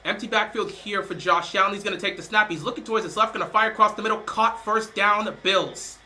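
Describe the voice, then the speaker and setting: Excitable young man calling plays. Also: high-pitched, brisk. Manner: excitable, calls plays then chats loosely. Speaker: live stream host doing play-by-play. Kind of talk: casual play-by-play commentary.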